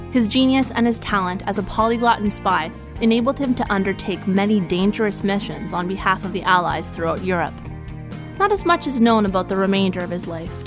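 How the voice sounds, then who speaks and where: A woman reading a scripted radio sign-off and historical notes as the announcer for a community theatre group. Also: mid-range voice. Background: music.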